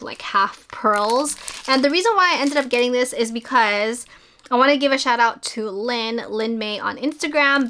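A woman's voice: fairly high pitch, bright, forceful tone. A woman in her thirties chatting casually at home in a haul vlog, upbeat and full of fillers. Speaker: vlogger. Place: small bedroom filming space at home.

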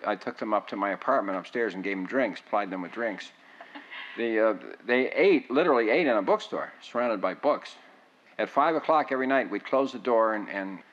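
An older man reminiscing in an oral history interview, rambling, with frequent restarts. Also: on the low side. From United States. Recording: dull lo-fi sound.

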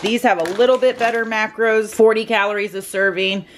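A woman's voice: mid-range voice, fairly even intonation, a little fast, background noise. A young woman with an upbeat, chatty voice-over, walking through her weekly meal prep in a home kitchen. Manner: upbeat, chatty, lists macros and steps. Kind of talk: casual cooking voice-over.

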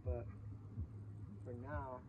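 A man's voice: mid-range voice, flat delivery, very slow. A man talking casually as an angler showing his gear, brief and plain-spoken, recorded outdoors in a small boat on someone else's phone. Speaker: angler explaining his rig. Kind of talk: casual demonstration chat. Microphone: phone held by another person facing him. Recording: muffled phone-like audio, outdoor boat noise.

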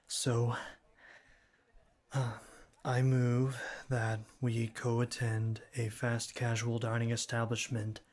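A man playing a shy young classmate in an ASMR roleplay, speaking formally and stiffly with hesitant stammers and a cool, deadpan manner. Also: medium pitch. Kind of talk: ASMR roleplay, scripted one-sided dialogue.

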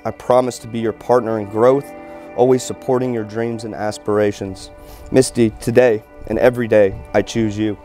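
A man in his thirties, a groom earnestly and haltingly reading wedding vows aloud outdoors. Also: lowish voice, flat delivery, warm tone, faint music behind. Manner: halting, earnest, stumbles over words. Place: outdoor wedding ceremony in a field. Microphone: handheld microphone held nearby by officiant.

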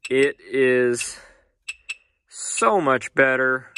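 A young man, a farmer vlogger, talking casually about his tractor cab setup while holding his phone, relaxed and chatty with many pauses. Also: medium pitch, slight background noise. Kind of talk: casual vlog walkthrough. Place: farm shop and tractor cab. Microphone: handheld phone at arm's length.

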